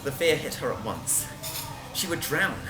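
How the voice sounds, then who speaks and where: A woman's voice: extremely low pitch, lively intonation, normal speed, poor audio. A woman reading a scripted story aloud as a narrator, with music behind her. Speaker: reader.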